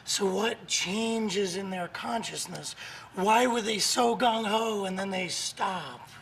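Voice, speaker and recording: A hoarse man with laryngitis, a guest author and coach talking in an upbeat, emphatic, motivational way on a morning show. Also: high-pitched, slow, fairly bright tone, a little hiss or hum.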